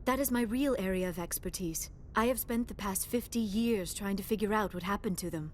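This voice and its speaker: Sci-fi game: actress as youthful female alien researcher, medium pitch, formal, precise, earnest, faint rumble behind. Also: a little fast, darkish tone, close to an everyday voice. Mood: polite, grateful. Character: earnest, polite young female alien scholar. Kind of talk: video game dialogue acting.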